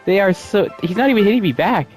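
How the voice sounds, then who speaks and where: A woman's voice: mid-range voice, expressive, fast talker, a little mellow, soft background music. A woman giving casual, sweary, irreverent commentary while streaming a video game. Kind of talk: casual live gameplay commentary.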